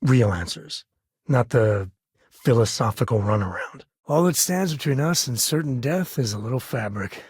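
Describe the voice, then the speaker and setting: A man voicing a rugged, good-hearted space explorer and father in a video game, with a husky rasp and a folksy, earnest way of talking. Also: mid-range voice, lively intonation, normal speed, a little mellow, no room sound, studio quality. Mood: wry. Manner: folksy, colloquial, earnest delivery.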